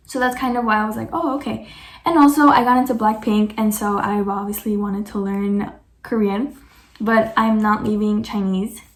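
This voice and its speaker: A woman's voice: on the high side, roomy sound. A woman vlogger answering viewer questions in casual chat, chatty and peppered with "like" and self-corrections.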